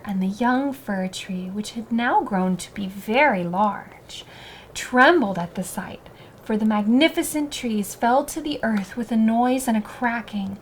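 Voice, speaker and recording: A woman's voice: on the high side, a little hiss or hum, poor audio. A woman narrating a scripted children's story as a storyteller.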